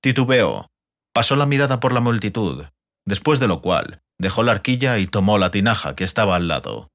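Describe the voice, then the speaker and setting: A man reads literary fantasy as an audiobook narrator. His voice is on the low side, and his intonation moves widely and expressively. The delivery is scripted storytelling. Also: expressive, a little fast, fairly bright tone.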